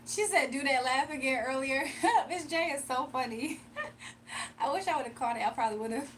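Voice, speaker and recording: A woman's voice: high voice, normal speed, fairly bright tone, slight background noise. A woman hosting a live stream, chatting casually with viewers and doing card readings, her voice a little strained from nearly losing it. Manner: chatty, reads out viewer comments, laughs often. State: slightly strained, recovering voice. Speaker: livestream card reader.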